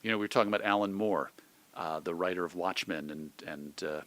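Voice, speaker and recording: A man being interviewed in a reflective, conversational way, speaking thoughtfully and haltingly with repeated words. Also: low-pitched, fairly bright tone. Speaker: radio host and magazine editor being interviewed.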